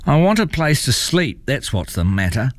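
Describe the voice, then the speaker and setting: A man's voice: medium pitch, very animated, normal speed, dry recording, voice pushed up, close to an everyday voice. A man voicing a grumpy, greedy young male troll who snarls, demands and complains in a children's story. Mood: grumpy, indignant.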